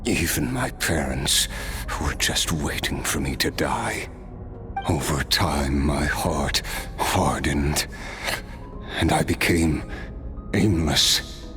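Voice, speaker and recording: An actor playing a hardened immortal man who slowly and reflectively recalls his past, in a video game. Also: rumbling low, music in the background. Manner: slow, reflective storytelling.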